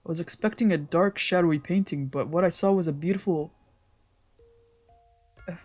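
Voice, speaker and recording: A woman streamer playing a visual novel, mixing casual, slangy, expressive commentary with reading the game text aloud. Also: on the low side, normal speed. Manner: expressive, reactive, exclamatory, slangy. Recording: music playing behind the voice.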